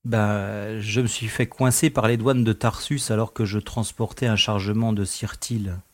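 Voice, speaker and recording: An actor playing a cheeky, grudging young smuggler pilot in a French space audio drama, with a casual, reluctant manner. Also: male voice, lowish voice, steady tone, measured pace, dry recording, studio quality, natural voice. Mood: sheepish. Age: young adult.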